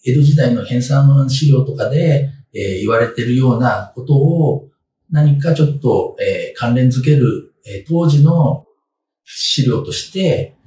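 Older male scholar in his sixties, halting careful explanations, animated intonation, distant mic. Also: medium pitch. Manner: measured, halting, hedged explanations. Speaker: guest historian and author. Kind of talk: interview answers, explaining history. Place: small indoor room, seated at desk. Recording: roomy echo, off-mic, faint noise.

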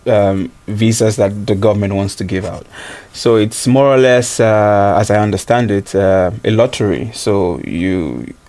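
This is a man in his thirties, giving a relaxed interview as a student guest. His voice sits on the low side, and he thinks aloud with frequent ums and an easy laugh. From Nigeria. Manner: thoughtful, many ums and uhs, laughs easily. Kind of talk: casual interview answers.

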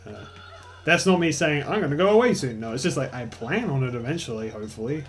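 A man's voice: medium pitch, animated, quick pace, soft background music. A young Australian man with an Australian accent commentating casually over gameplay, rambling and swearing freely. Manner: rambling, swears a lot, self-mocking. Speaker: let's play host.

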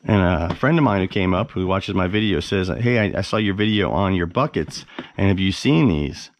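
A man's voice: deep voice, animated, normal speed. A man giving a casual, unscripted product review as an enthusiastic, plain-spoken host who repeats words for emphasis. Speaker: host of a fishing gear review channel. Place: carpeted living room at home, indoors.

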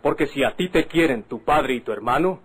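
A man's voice: medium pitch, lively intonation, brisk, husky. An actor playing a proud, wounded young Mexican man, solemn and declamatory, in an old radio drama. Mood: defiant.

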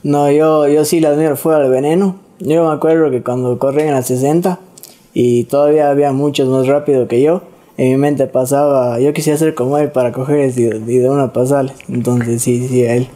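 A young man, speaking in a hesitant, quiet way about racing. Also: mid-range voice, flat delivery, a little fast. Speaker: guest rider. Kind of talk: casual chat.

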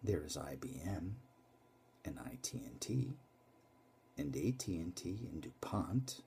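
A man's voice: very animated, slow, creaky, slight background noise, full character voice. A man doing a thundering corporate tycoon. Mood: hushed menace. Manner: booming shouts falling to hushed whispers. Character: commanding male corporate tycoon, fierce, preaching. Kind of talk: dramatic monologue recitation.

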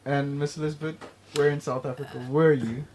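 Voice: medium pitch, flat delivery, fast, a crowd in the background. A young adult hosting a casual chat, slangy and teasing with the guests. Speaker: host.

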